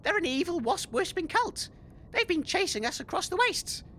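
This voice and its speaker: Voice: extremely high pitch, sing-song, normal speed, bright, forceful tone, a little hiss or hum, exaggerated voice. A performer playing a dim, hapless male DJ in a comedy audio drama, whiny and hesitant. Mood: alarmed.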